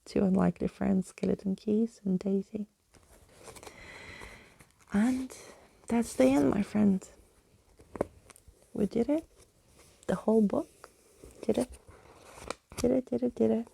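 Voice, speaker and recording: A woman reading softly in an ASMR bedtime style, as a storyteller addressing a listener. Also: medium pitch, slow pace, vocal fry, pauses often, slight background noise.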